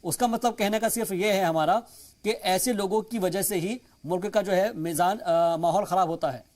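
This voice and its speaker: A man's voice: high-pitched, moderate pace, darkish tone. A man moderating a panel as host, wordy and using Urdu-flavoured vocabulary. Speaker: debate show host.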